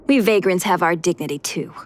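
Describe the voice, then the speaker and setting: A woman playing an earnest, proud vagrant miner in a video game, speaking in a measured, explanatory way. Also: lowish voice, lightly acted. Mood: earnest, matter-of-fact. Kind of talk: English video game voice acting. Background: game music.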